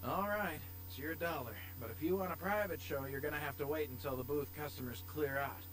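A man's voice: on the high side, brisk, fairly bright tone, close to an everyday voice, rough recording. Male actor as middle-aged sleazeball manager, slangy, oily, game character, hum and noise behind. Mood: grudging, businesslike. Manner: oily, insinuating. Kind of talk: video game dialogue.

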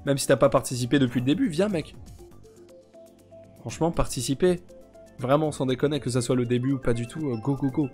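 Young man, stuffy nose, rambling stream chat, deadpan jokes, faint game music behind. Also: mid-range voice, brisk, pauses often. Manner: rambling, deadpan joking, chatting with viewers. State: congested, sick with sinusitis. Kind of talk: casual live-stream chat while gaming.

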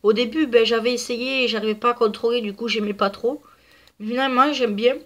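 Woman with a cold, kinda nasal, highish voice, chatty game commentary full of ums. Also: steady tone, brisk, fairly bright tone. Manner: chatty, rambling, many fillers. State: stuffy nose from a cold. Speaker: gaming video creator. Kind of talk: casual gameplay commentary.

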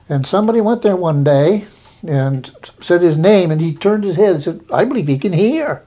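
A man's voice: high-pitched, warm tone. An older man telling a rambling, plain oral history recollection in an interview at home. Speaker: interviewee recalling local memories.

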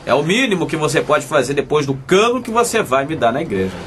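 A man's voice: fairly high pitch, very animated, fast, fairly bright tone, a little hiss or hum. An actor with a Brazilian accent playing a hapless, cajoling father in a colloquial comic radio soap. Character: hapless, pleading young father narrating his own story.

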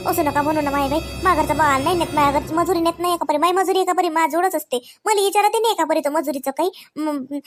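A woman voicing an anxious village debtor in a comedy cartoon, pleading plaintively and repetitively with a Vidarbha Marathi accent. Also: very high-pitched. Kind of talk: comedy cartoon dialogue in rural dialect.

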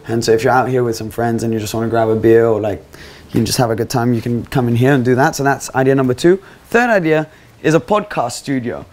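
A man's voice: mid-range voice, quick pace, a little mellow. A young man, giving a chatty, upbeat house tour as he walks around, speaking into a small clip-on mic. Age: 20s. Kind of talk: casual walk-through vlog. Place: small rented house and garden, indoors and outdoors. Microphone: small clip-on mic on his shirt.

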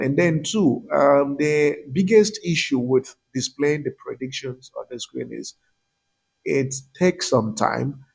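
A man's voice: mid-range voice, vocal fry, darkish tone, husky. A young man with a Nigerian accent, casually talking viewers through his picks over a screen recording, with frequent fillers. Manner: chatty, many "um" fillers, addresses viewers directly. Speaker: betting tips channel host. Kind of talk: casual screen-share commentary.

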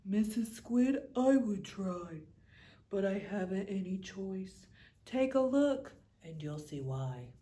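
A woman's voice: mid-range voice, lively intonation, slow, dark tone, pitched below the performer's own voice. A woman voicing a gloomy male fish with a droning, mopey voice in a storybook reading. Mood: glum. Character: glum male pout fish, gloomy and sulky.

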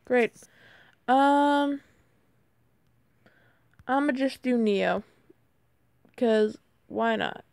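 Woman, high voice, unhurried, dry close sound, cracking voice, casual game chatter, self-deprecating. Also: gravelly, darkish tone, clean tone, dry recording. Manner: chatty, says "bro" and "like" constantly; self-deprecating, easily flustered. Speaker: gamer. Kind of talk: casual mobile gameplay commentary.

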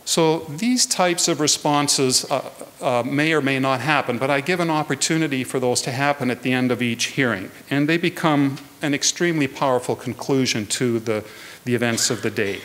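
A man gives a prepared public talk in a measured, earnest way. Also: mid-range voice, a bit bright. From Canada. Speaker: guest speaker, hearing adjudicator. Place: indoors at a lectern in a hall.

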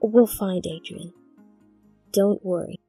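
A woman voicing a gentle, caring teenage friend in an animated comic dub, soft and breathy. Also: medium pitch, slow pace, soft background music, heavily acted. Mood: tender.

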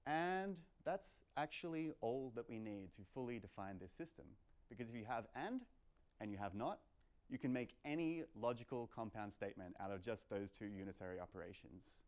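A man's voice: medium pitch, lively intonation, moderate pace, a little mellow. A young man presenting informally as a lecturer, explaining emphatically and posing rhetorical questions. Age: young adult. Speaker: lecturer at a meetup. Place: lecture room, indoors.

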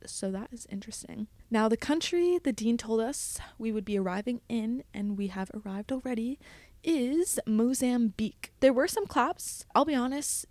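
A woman's voice: a little high, a little mellow, no room sound. A young woman hosting a casual solo podcast, telling stories in a chatty, explanatory way with frequent asides. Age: young adult. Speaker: podcast host, college student studying at sea.

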